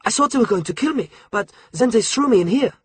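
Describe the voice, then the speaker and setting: Frightened schoolboy prisoner voiced by a male reader, lifted pitch, husky and shaken. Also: animated, fast, dry recording, exaggerated voice. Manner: startled and shaken.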